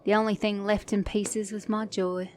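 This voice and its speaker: A woman plays a flirty, sassy female mechanic in a romantic ASMR roleplay. Her voice sits at a medium pitch. She talks intimately and teasingly to the listener as her date, with a caring streak under the sass. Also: little pitch movement, normal speed, darkish tone, lightly acted. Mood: nostalgic, chatty. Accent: British or Australian.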